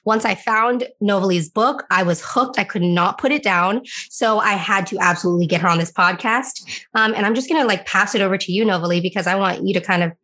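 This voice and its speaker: A woman hosting a podcast, relaxed and chatty, with many fillers and quick agreeing backchannels. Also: medium pitch. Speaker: podcast host. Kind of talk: casual interview chat.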